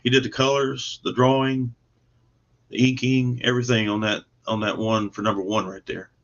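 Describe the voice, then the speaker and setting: A man with an average-pitched voice talks as a guest creator in a casual interview. He is modest and plainspoken in manner. The sound is dull and lo-fi, as on a video call. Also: flat delivery, moderate pace. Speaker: comic book creator, podcast guest.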